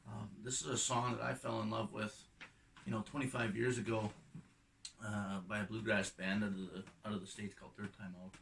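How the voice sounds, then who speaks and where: A middle-aged man with a slightly croaky voice, a musician talking casually and reflectively between songs in an unhurried, halting way that trails off softly. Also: lowish voice, flat delivery, far from the mic, slight background noise. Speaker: acoustic folk and bluegrass musician.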